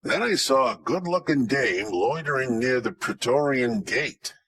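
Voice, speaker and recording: A man performs a hardboiled detective in a toga-era radio spoof. His mid-pitched voice swings up and down through deadpan slang and wisecracks, recorded close and dry. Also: measured pace, slightly rough, dry recording. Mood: wry. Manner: deadpan narration in tough-guy slang. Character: hardboiled wisecracking male detective in ancient Rome. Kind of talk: old-time radio detective parody.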